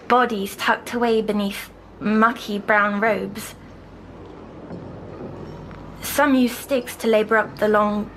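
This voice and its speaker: A woman playing a timid, dreamy young girl patient who recounts her nightmares in a hushed audio drama. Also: medium pitch, creaky, a little hiss or hum, poor audio.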